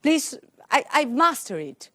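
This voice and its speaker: Young greek-native woman giving a conference tech talk, lots of uh and yeah, bit high voice, dry sound. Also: very animated, unhurried, a bit bright, no room sound. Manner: hesitant, many fillers and restarts. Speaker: conference speaker. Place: conference room, indoors. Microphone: handheld mic.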